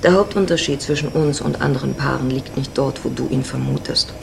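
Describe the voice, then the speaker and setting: A woman's voice: extremely low pitch, brisk, creaky, noisy background, heavily acted. An actress playing a worldly, flirtatious married woman in her forties, sardonic and self-assured, in a radio drama. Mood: knowing, bittersweet. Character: worldly, teasing 45-year-old married Mexican woman.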